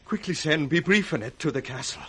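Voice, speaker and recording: An actor playing a dying villainous British nobleman, proud and repentant, husky and strained, in radio Shakespeare. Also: on the high side, expressive, a little fast, bright, forceful tone, heavily acted. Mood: urgent remorse.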